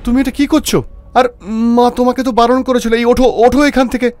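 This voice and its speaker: A man voicing a startled, disbelieving young husband in an animated horror story, with urgent, alarmed questions. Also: very high voice, quick pace, pitched above the performer's own voice. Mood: agitated. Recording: music behind the voice.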